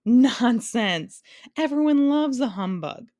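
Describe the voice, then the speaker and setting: A pompous old male humbug bug who booms his boasts with grand, sweeping indignation, as a woman reading the story puts him on. He leaps high in pitch and loudness whenever he swells with pride. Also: expressive, a little fast, dry recording, clean studio sound, lightly acted. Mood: blustering.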